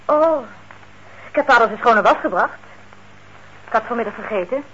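A disapproving grown daughter in an old radio drama. Her high, sharp voice has a rough edge as she questions primly and protectively, on a dull recording. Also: expressive, moderate pace, heavily acted. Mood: indignant. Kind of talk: Dutch radio play acting.

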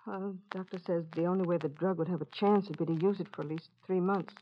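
Low-pitched, worn, anxious mother acted by a woman. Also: fairly even intonation, lightly acted. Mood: weary, sorrowful. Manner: hesitant, plain-spoken, halting. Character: worn, anxious, loving mother of a sick boy. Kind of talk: 1940s-50s radio drama acting. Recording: muffled, noisy old radio recording.

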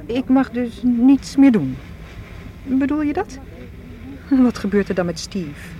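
A woman's voice: a little high, animated, slow, warm tone, dull audio. A woman acting in a Dutch radio play as a headstrong, anxious lover searching for her man, questioning and insistent. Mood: reluctant, upset.

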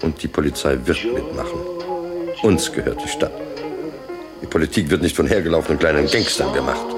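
An actor playing a cynical, powerful city boss in a 1960s German radio drama, smug, cold and emphatic. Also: male voice, very deep voice, very animated, a little fast. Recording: loud music behind radio drama. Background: loud music.

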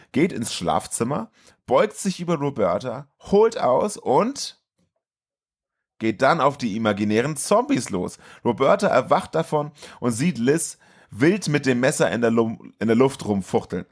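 A man's voice: medium pitch, very animated, measured pace, husky. A man co-hosting a podcast, recapping and chatting about a film in a casual, colloquial way. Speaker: podcast co-host.

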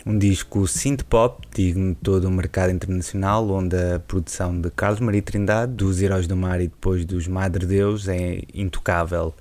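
A man hosting a podcast, reading scripted narration in a calm, measured way. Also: deep voice, slight background noise. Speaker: podcast host.

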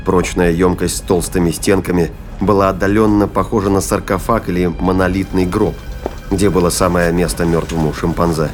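A man narrating a science fiction audio drama in a measured, descriptive storytelling manner. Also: sits low, flat delivery, faint music behind.

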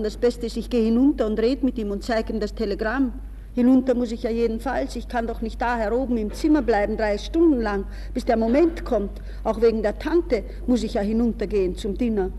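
A woman's voice: on the high side, warm tone. A woman playing a vain, defiant, distraught young lady in a theatrical radio drama monologue, talking feverishly to herself. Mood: restless, fretful. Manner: theatrical, self-addressed, swinging from murmurs to exclamations. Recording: reverberant hall sound, faint noise.

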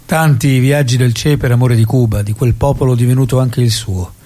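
An actor as a solemn, husky-voiced narrator. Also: male voice, medium pitch, fairly even intonation, a little fast, warm tone, close to an everyday voice. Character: solemn storyteller of a revolutionary's life. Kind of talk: dramatic scripted narration.

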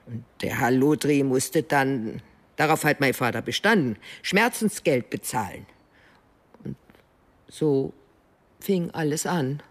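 An actress playing a secretive older mother in a radio drama, defensive and evasive, speaking haltingly. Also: very deep voice, animated, exaggerated voice. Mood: halting, ashamed. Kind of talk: naturalistic German radio drama acting.

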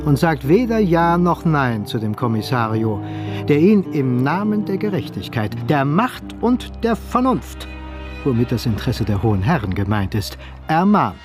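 A male narrator reads scripted story passages for a radio drama. His voice is of average pitch. Music plays clearly behind him. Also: sing-song, normal speed.

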